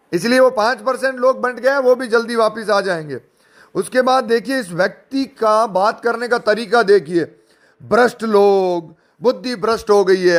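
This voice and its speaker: High-pitched, sarcastic, argumentative man. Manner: sarcastic, mocking; indignant, argumentative, rhetorical questions. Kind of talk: informal spoken rebuttal, addressing listeners directly.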